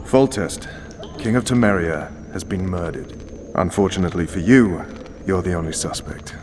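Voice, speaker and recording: Man acting a deep-voiced, stern fantasy commander. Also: normal speed, background noise, natural voice. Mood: stern, dry. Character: hard-bitten male special forces commander, blunt and loyal. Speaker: interrogator and military commander. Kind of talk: cinematic fantasy video game acting.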